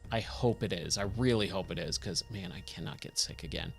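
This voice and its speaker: A man with a slightly hoarse, scratchy voice giving casual, rambling game commentary and thinking aloud as he plays. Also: deep voice, fairly quick, faint music behind. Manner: rambling, self-questioning. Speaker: game streamer, host of a let's play.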